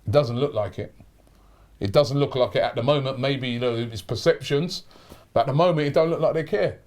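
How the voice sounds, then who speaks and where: A man's voice: mid-range voice, brisk, darkish tone. A middle-aged man hosting a fan talk show, ranting in frustration and often restarting his sentences. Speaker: host.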